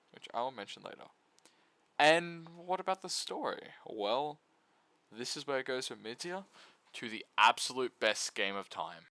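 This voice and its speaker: A man's voice: medium pitch, a bit bright, no echo. A man giving a casual video essay review of visual novels, full of flippant, irreverent asides.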